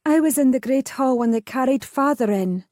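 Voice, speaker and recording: A woman's voice: high voice, animated, moderate pace, a little mellow, no echo, clean studio sound, pitched above the performer's own voice. A woman voicing a protective, stubborn young noblewoman who speaks firmly in old-fashioned phrasing. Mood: insistent.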